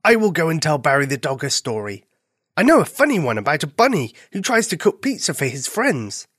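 A woman's voice: low voice, big pitch swings, brisk, slightly rough, dry recording, clean studio sound, heavily acted. A woman voicing a friendly, helpful male squirrel in a children's story, reassuring and easygoing. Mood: cheerful, helpful.

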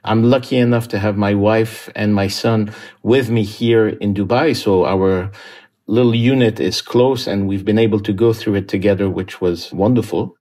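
Man, low voice, reflective monologue, quiet home office, thoughtful pauses. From Syria and Lebanon. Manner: calm, thoughtful, with frequent "uh" pauses. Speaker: aid worker telling his story.

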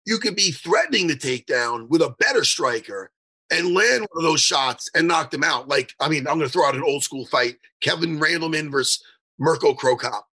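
A man's voice: on the high side, lively intonation, brisk, pushed and bright, breathy edge. A man hosting an interview, talking in an enthusiastic, rambling, casual way. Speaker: interview host.

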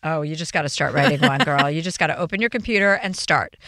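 A woman's voice: low-pitched, lively intonation, quick pace, fairly bright tone, no room sound, studio quality. A woman in her forties hosting a talk show, giving brash, emphatic advice and swearing freely in casual chat.

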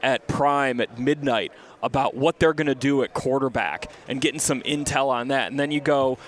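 A man co-hosting a sports media show outdoors, chatty and storytelling, his voice slightly hoarse. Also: medium pitch, normal speed. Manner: chatty, storytelling, restarts often. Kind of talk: casual radio-style chat. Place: outdoors at a city event.

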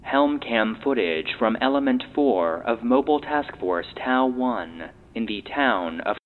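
A man playing an impassive database voice that reads classified log headings in a measured, official manner. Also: lively intonation, natural voice. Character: impassive male database voice reading classified logs. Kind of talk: scripted log announcements. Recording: tinny lo-fi playback with rumble.

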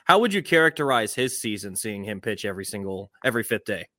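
A man's voice: on the low side, big pitch swings, quick pace, sharp tone, no room sound, studio quality. An American man co-hosting a sports podcast, putting questions in a conversational style.

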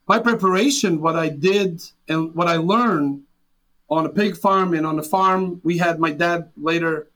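A man's voice: on the high side, measured pace, fairly bright tone. A man in his forties from Serbia, speaking English with a Serbian accent as an interview guest, earnest and full of restarts. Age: 40s. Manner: earnest, with frequent restarts and fillers. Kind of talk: interview conversation.

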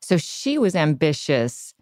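A woman's voice: low voice, big pitch swings, slow, darkish tone, no echo, studio quality. A woman hosting a podcast, musing casually and a little tentatively in conversation. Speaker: podcast host.

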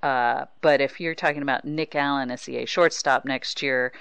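A woman hosting a casual sports interview, chatting in a halting way with many false starts. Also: extremely low pitch, fairly even intonation, creaky, a bit bright, clean tone, dry recording. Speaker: podcast host, baseball writer.

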